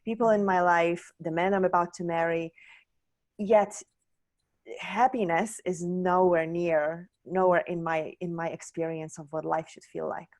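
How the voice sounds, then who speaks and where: Woman from Poland, lowish voice, candid guest, searching and full of restarts. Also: steady tone, a little slow, darkish tone. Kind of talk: reflective personal story.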